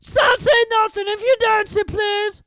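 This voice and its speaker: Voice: extremely high pitch, fairly even intonation, measured pace, sharp tone, no room sound, pitched above the performer's own voice, full character voice. A reader voicing a mischievous male poltergeist with a sing-song, taunting delivery. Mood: gleeful. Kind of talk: audiobook character voice.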